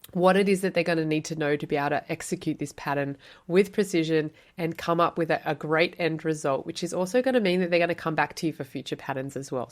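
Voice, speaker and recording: Australian woman, podcast host, lowish voice, friendly teaching tone, asks rhetorical questions. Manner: chatty, encouraging, rhetorical questions. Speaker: podcast host and quilt pattern designer.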